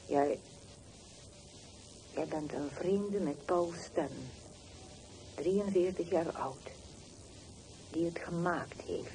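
A woman's voice: lowish voice, extremely slow, pauses often, dark tone. An actress playing a bitter, grieving mother left alone, speaking in short broken phrases in a radio play. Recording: noisy old radio play recording.

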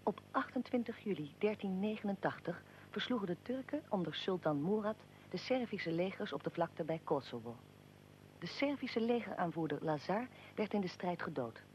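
A woman narrates history from a script for a radio drama. Her pitch sits in a middle range, and there is faint noise and rumble in the recording. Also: lively intonation, normal speed, darkish tone.

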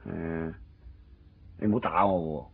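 A man's voice: low-pitched, fairly even intonation, a little slow, creaky, husky, exaggerated voice. A man with a Hong Kong Cantonese accent playing a nervous, apologetic, evasive friend in a radio drama. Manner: hesitant, stammering, pleading. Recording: dull, lo-fi 1990s radio recording with music.

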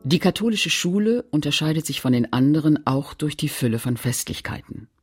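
A man with a husky, gravelly voice narrating a literary radio play in a measured, read-aloud manner. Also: on the high side, lively intonation, soft background music.

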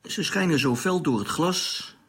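A man's voice: a little high, animated, unhurried, a bit bright, breathy edge, heavily acted. A man acting in a radio drama as a grumbling, nervy, plain-spoken space crewman who talks hesitantly. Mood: uneasy, wondering.

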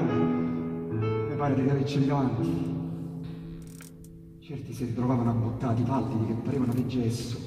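A man reciting verse dramatically, moving between loud cries and hushed whispers. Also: mid-range voice. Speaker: reciter of dialect poetry. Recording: echoey hall, distant mic, broadcast on radio. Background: piano accompaniment.

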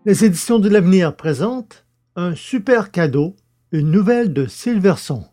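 Bright-voiced man announcing over music. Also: high-pitched, animated, moderate pace. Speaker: podcast host. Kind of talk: scripted announcement.

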